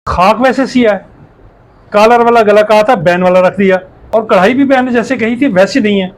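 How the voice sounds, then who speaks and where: Cunning customer and father, male actor, high-pitched, blustering, dismissive, quick to temper. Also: natural voice. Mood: indignant bluster. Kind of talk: moral story drama acting.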